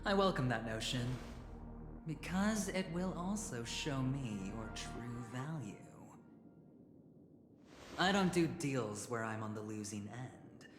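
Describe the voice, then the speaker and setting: Slow, suave scheming gambler. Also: male voice, mid-range voice, expressive. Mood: coolly confident. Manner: suave, theatrical, teasing, with drawn-out phrasing and soft chuckles. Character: smooth, scheming young male high-stakes gambler and corporate negotiator. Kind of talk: video game cutscene voice acting. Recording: roomy reverberant sound under loud music.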